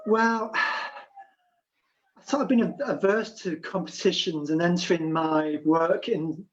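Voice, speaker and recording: A man doing improvised comedy, talking in a calm, hesitant way full of fillers. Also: sits high, slow pace. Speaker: improv performer. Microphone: video call. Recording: dull lo-fi video call audio.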